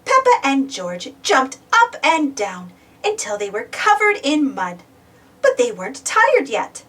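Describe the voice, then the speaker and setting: A woman reading a picture book aloud as narrator, expressively and deliberately, for young children. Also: sits high, wildly swooping pitch, creaky, fairly bright tone, far from the mic.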